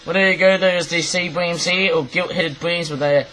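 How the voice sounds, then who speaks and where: Croaky young man rambling. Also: fairly high pitch, steady tone, moderate pace, sharp tone, a little hiss or hum, poor audio. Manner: rambling, repeats himself, many fillers and restarts. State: croaky voice, coughing. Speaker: vlogger reviewing craft toys. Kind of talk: casual rambling review. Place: cluttered bedroom, indoors. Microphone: webcam at desk.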